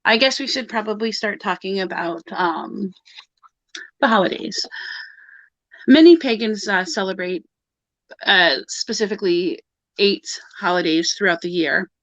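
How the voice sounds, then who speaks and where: A woman's voice: mid-range voice, unhurried, long pauses, fairly bright tone, no room sound. A woman co-hosting a pagan livestream, chatting casually and laughing often as she rambles through the topic. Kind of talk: casual group chat on a live video call. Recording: dull dry video-call audio.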